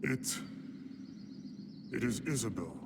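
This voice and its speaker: A man's voice: medium pitch, animated, takes it slowly, heavy background noise. An actor playing a brooding male demon lord in a video game cutscene, speaking tersely and intensely. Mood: tense. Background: loud game score music.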